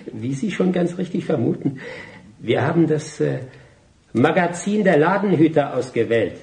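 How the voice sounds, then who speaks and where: A sardonic schemer in a science-fiction radio play. His voice sits a little high for a man. He picks his words formally and deliberately, with a wry edge. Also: lively intonation, creaky, a little mellow, full character voice. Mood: wry, smug. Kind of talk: German radio drama acting.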